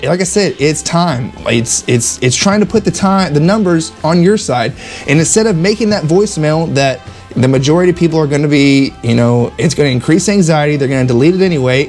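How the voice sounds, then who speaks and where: A man with a fairly high-pitched voice speaks in a casual vlog style, coaching the listener. His delivery is conversational and emphatic, and he often repeats a phrase to make it stick. Music plays behind him. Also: darkish tone. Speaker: insurance agent giving sales tips. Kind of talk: casual instructional vlog monologue.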